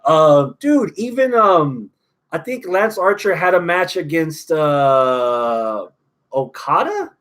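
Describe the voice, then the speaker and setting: A man's voice: a little high, animated, takes it slowly, a bit bright. A man with an American accent hosting a wrestling podcast, giving opinionated, profane analysis in a casual chat.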